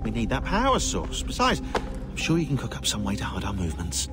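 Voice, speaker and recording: An actor playing a sarcastic, impatient modern-day team member in a video game. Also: male voice, on the low side, wildly swooping pitch, fairly quick. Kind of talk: video game cutscene acting. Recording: music under the voice.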